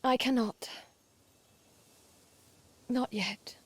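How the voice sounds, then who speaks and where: An actress playing a solemn, resolute young warrior goddess in a dramatic video game, speaking in a measured, earnest way. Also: female voice, a little high, fairly even intonation, slightly rough, heavily acted. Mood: solemn resolve. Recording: loud music underneath. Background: game score music.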